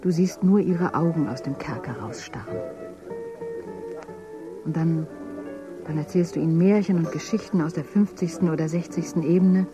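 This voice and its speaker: A woman's voice: on the low side, lightly acted, low-quality recording. An actress playing a troubled woman who works as a paid conversation companion in a dystopian radio drama, talking compulsively. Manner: compulsive, rambling talk. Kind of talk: German radio drama acting.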